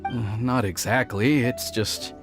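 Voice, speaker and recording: An actor playing an earnest, dutiful young guard in an anime-style game, speaking formally and hesitantly with an uneasy air. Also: male voice, medium pitch, fairly even intonation, slow pace, music in the background, lightly acted. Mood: uneasy, troubled.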